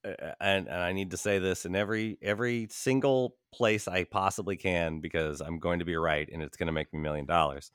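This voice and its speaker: Very deep-voiced man, smooth and close. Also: fairly even intonation, fairly quick, few pauses, a bit bright, no room sound. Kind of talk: casual podcast chat about a film.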